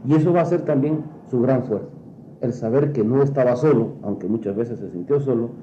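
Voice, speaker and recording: A man's voice: mid-range voice, a little fast, warm tone. A man giving explanatory commentary, didactic, building his points through repetition. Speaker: expert commentator. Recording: dull, roomy, slightly noisy interview recording.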